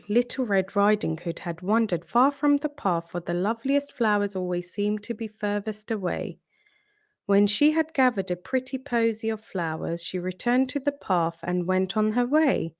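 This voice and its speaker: A woman storyteller narrating a children's storybook in an expressive read-aloud style. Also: medium pitch, no room sound, studio quality.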